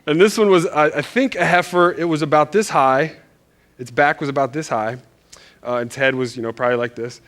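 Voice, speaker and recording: Animated, chatty male preacher. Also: on the high side, brisk. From Northern Virginia. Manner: animated, self-deprecating, many restarts. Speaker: pastor. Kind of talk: casual storytelling sermon. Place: church.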